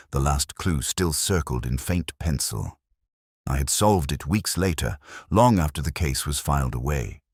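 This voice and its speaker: Male actor as older detective narrator for audio drama: extremely low voice, reflective, weary, literary delivery, dry studio sound. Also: a little mellow, slightly rough, dry recording, exaggerated voice. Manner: measured, literary, melancholic. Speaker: first-person narrator.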